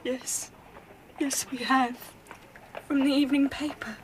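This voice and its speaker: A woman playing a distressed, fearful Victorian mother and landlady in a radio drama, halting and breathy. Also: high voice, fairly even intonation, a little hiss or hum, poor audio. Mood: fearful, hushed.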